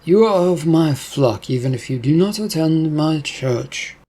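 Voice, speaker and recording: Man playing a kindly, patient preacher, raspy voice. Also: a little high, animated, measured pace. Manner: gentle, placating, pious. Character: kindly, patient male preacher, soft-hearted.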